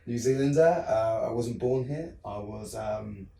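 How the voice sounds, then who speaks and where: A man's voice: fairly low pitch, unhurried, gravelly, roomy sound, recorded from a distance. A young English man from the south of England with a southern English accent, chatting casually in an interview with lots of ums and restarts. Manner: relaxed, many ums and restarts. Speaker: interview guest, filmmaker.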